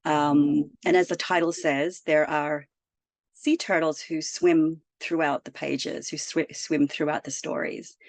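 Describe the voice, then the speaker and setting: An upbeat, articulate woman from Australia presenting her new children's book. Her voice sits low, and the recording is dry and close. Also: darkish tone, no room sound. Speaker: children's author. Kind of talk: author presentation. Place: Toronto.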